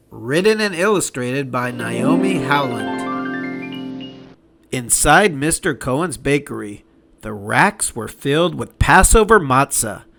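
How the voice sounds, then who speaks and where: A man narrating a children's storybook aloud in a deliberate, expressive way. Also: medium pitch, vocal fry.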